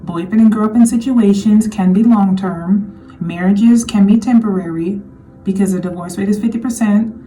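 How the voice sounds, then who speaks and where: Slightly hoarse woman, earnest vlog talk. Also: mid-range voice, moderate pace, dark tone, recorded from a distance, soft background music, poor audio. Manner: earnest, repeats points to drive them home. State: slightly hoarse, losing her voice. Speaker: vlogger. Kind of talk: casual commentary monologue.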